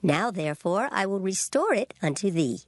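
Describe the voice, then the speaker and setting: A woman's voice: mid-range voice, sing-song, normal speed, no echo, clean studio sound, lightly acted. A woman playing a pious mother of a grown son in a dramatized scripture recording, speaking formally and devoutly. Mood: devout resolve.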